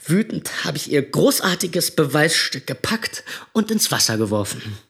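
Young hotheaded boyfriend in radio drama, defensive, voice swings up and down. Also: male voice, a little high, very animated, moderate pace, vocal fry, fairly bright tone, heavily acted. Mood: defensive, angry. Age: young adult. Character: hot-tempered young boyfriend, defensive and stubborn.

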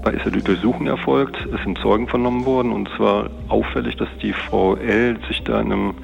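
A man speaking as a police detective, recounting an investigation off the cuff in colloquial, winding sentences with a creaky, gravelly voice. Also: lowish voice, fairly even intonation, a little fast. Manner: matter-of-fact, colloquial, long winding sentences. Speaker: police detective being interviewed. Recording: dull, band-limited interview recording.

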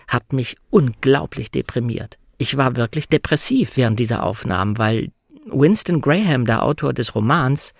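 A man talking as a guest in a personal, conversational discussion, in a reflective, measured manner. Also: medium pitch, gravelly, no echo, clean studio sound. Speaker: guest, audiobook narrator.

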